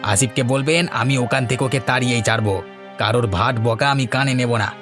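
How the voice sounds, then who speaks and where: A man's voice: mid-range voice, fairly even intonation, fast talker, natural voice. A man playing a rude, hot-headed village bully in a cartoon, with a curt, scornful, aggressive delivery. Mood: irritated, scornful. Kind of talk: Bengali cartoon story voice acting. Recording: music behind the voice.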